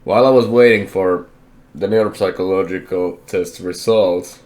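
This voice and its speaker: Deep-voiced young man, halting and candid. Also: darkish tone. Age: young adult. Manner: halting, hesitant, self-correcting, candid. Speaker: vlogger. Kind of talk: personal life update.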